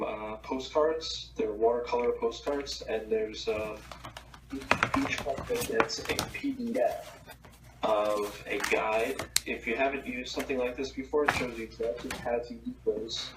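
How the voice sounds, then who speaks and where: A man from New Jersey, a company co-founder, explaining a product hesitantly with many pauses. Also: mid-range voice. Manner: hesitant, many ums and uhs. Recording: echoey, distant from the mic.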